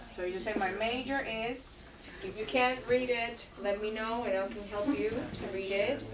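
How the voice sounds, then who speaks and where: A woman's voice: a little high, measured pace, crowd noise. A woman teaching an English class, patiently explaining and prompting her students in a classroom. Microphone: far-off recorder. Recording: roomy, distant, noisy classroom recording.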